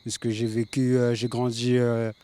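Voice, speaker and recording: A man in his late thirties, originally from the Paris suburbs, talks about his music in a calm, monotone voice full of fillers. A faint background noise runs under the recording. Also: mid-range voice, moderate pace. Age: 30s. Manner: laid-back, many fillers, flat delivery. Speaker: reggae singer guest. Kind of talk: casual interview.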